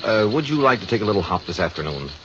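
An American actor playing an earnest, polite insurance adjuster who flies planes, explaining things in a 1950s radio drama. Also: male voice, on the low side, sing-song, quick pace. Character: earnest male insurance adjuster and seasoned pilot. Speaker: supporting actor. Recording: muffled, faintly noisy old radio recording.

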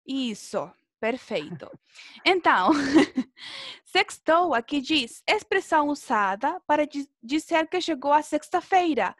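A woman's voice: sits high, very animated, slow, fairly bright tone, no room sound, studio quality. A woman teaching a beginner language class over a video call, encouraging pupils and often checking in with short tag questions. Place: indoor room, online video class.

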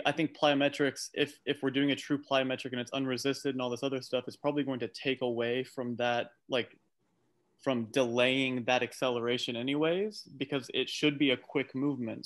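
A man's voice: mid-range voice, little pitch movement, brisk. A man working as a coach, explaining casually on a video call, tentative and thinking aloud. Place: indoors on a group video call.

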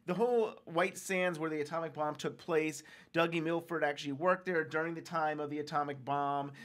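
A man with a fairly high voice co-hosts a fan podcast. He talks in a casual, conversational way, working through ideas as he goes. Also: fairly even intonation, fairly quick, fairly bright tone. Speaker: podcast co-host.

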